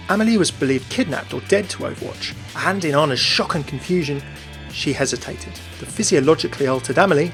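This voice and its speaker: A man's voice: a little high, fairly bright tone. A man reading scripted narration about video game lore as the narrator of a video.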